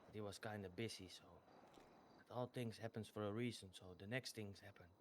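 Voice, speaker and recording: A man's voice: on the low side, steady tone, slight background noise, close to an everyday voice. A man voicing a hapless civilian with a foreign accent who gives a rambling, stammering statement in an online roleplay game. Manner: halting and rambling, full of stammered repeats. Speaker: witness giving a statement.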